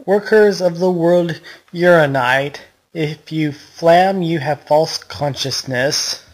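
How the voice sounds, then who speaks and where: A woman's voice: low voice, slow, low-quality recording. A woman reading scripted author's notes in an amateur group reading.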